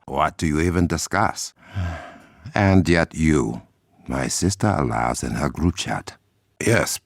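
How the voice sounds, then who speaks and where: A composed, noble warrior king from a video game, speaking in a remarkably deep, husky voice with an African accent. His pitch moves expressively while his phrasing stays formal and measured, with a dry wit. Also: dry recording, heavily acted. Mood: wry, composed. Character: dignified Wakandan king and warrior, composed, dryly witty. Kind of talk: video game character dialogue.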